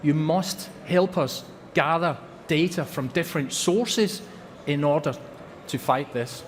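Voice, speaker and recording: A man's voice: fairly high pitch, slow, in a large hall, a little hiss or hum. A Scottish man giving an earnest presentation at a trade fair, repeating words for emphasis. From Scotland. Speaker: company presenter. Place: large exhibition hall.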